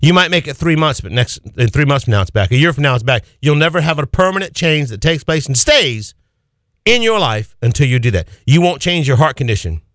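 A man's voice: on the high side, sing-song, a little fast, a bit bright, dry recording, clean studio sound. A man preaching an Easter sermon as pastor, pressing his points with swinging emphasis and repeated phrases.

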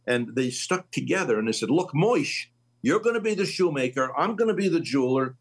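A husky man in his late sixties hosts a relaxed conversation about faith. He tells stories with sudden leaps in pitch and an easy, chatty pace. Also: a little fast. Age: 60s. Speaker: host.

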